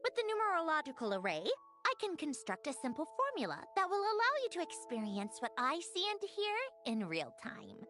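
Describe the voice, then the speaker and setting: A young female machine being from a video game in a high, animated voice. She is curt, logical and haughty, with a sentimental streak underneath. Music plays clearly behind her. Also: fairly quick, hardly any pauses, exaggerated voice. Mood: brisk, confident. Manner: haughty, curt, precise wording. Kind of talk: video game character acting.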